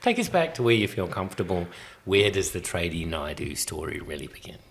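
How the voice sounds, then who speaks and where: This man has a very deep voice that moves around a lot in pitch. He hosts in a relaxed, affable way, easing into his questions with casual fillers. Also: brisk, gravelly. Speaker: podcast host. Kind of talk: casual interview hosting.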